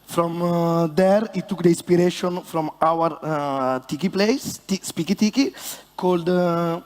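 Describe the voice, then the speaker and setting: High-voiced Italian man bantering in a hall. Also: darkish tone. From Italy. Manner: halting and playful, many fillers, self-mocking banter. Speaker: bartender presenting at a seminar. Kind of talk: joking stage talk with a co-presenter. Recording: long hall reverb.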